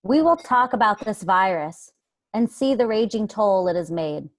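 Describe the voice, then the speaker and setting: A woman's voice: mid-range voice, lively intonation, moderate pace, no room sound. A woman actor giving a solemn, dramatic reading of a written memorial piece.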